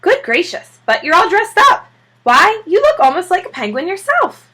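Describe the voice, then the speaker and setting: Woman as a high-voiced, practical old-fashioned housewife. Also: sing-song, moderate pace, bright, forceful tone, full character voice. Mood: surprised admiration. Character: practical, good-natured housewife and mother.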